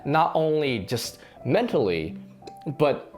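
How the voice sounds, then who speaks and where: A slightly hoarse man talks to camera with an animated, lively pitch that swings up and down. He sounds earnest and a bit rambling, restarting his sentences often. A faint guitar plays softly underneath. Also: takes it slowly. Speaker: fitness channel host. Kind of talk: casual talking-to-camera reflection.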